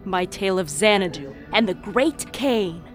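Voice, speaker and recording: A woman's voice: medium pitch, lively intonation, a little slow, close to an everyday voice. An actress playing a sly, conspiratorial woman storyteller in an audio drama, speaking theatrically and teasingly straight to the listener. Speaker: narrator character of an audio drama. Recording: music playing behind the voice.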